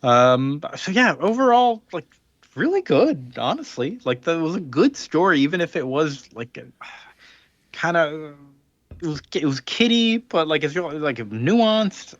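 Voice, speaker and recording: A man's voice: on the high side, big pitch swings, a little slow, no room sound, muffled recording. Man casually recapping a comic plot. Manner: rambling plot recap full of fillers. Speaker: podcast co-host. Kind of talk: casual podcast chat.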